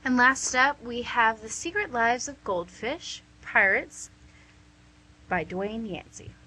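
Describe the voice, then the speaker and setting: A woman's voice: a little high, animated, slow pace, bright, forceful tone, slightly rough, low-quality recording. A woman announcing and narrating a scripted radio play.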